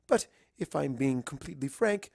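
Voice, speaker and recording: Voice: medium pitch, lively intonation, moderate pace, creaky, darkish tone, breathy edge, no room sound, clean studio sound. A narrator voicing a refined, bossy but caring female unicorn pony with formal, genteel phrasing. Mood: firm, caring.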